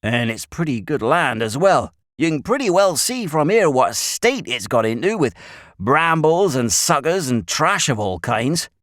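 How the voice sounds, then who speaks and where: A man's voice: on the high side, very animated, unhurried, fairly bright tone, no room sound, studio quality, pitched above the performer's own voice. A man voicing a grave old country shepherd with a rural English accent, speaking emphatic, folksy dialect in a storybook reading. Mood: grave warning.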